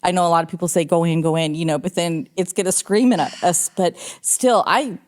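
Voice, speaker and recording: A woman hosting a podcast in a small recording studio, friendly and quick to laugh. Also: on the low side, fairly even intonation. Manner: friendly, affirming, giggly. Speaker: podcast host. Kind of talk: casual interview chat.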